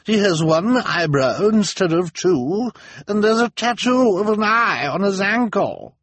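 A man voicing an anxious townswoman calling out loudly across a room. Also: high-pitched, lively intonation, measured pace, dry recording, pitched above the performer's own voice, heavily acted. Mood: worried. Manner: worried and loud.

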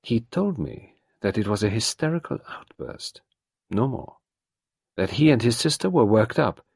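A man's voice: medium pitch, lively intonation, measured pace, creaky, darkish tone, slightly rough, dry recording, studio quality, voice pushed up, heavily acted. A man voicing an anxious, earnest young woman with a raised, pleading voice. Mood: desperate.

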